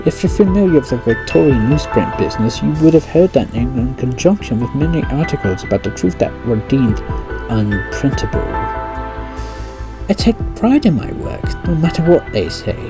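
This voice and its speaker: A man's voice: mid-range voice, big pitch swings, moderate pace. A man voicing an earnest young female reporter who is proud of her work, over background music. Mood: defensive.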